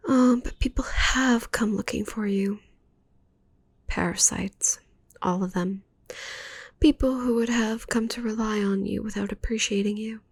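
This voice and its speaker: Possessive witch for ASMR roleplay, woman performer: slow, breathy whisper with creaky edges, doting yet menacing. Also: mid-range voice, steady tone. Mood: dark, gloating. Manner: slow, intimate, cooing menace. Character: possessive, needy young witch doting on shrunken captive.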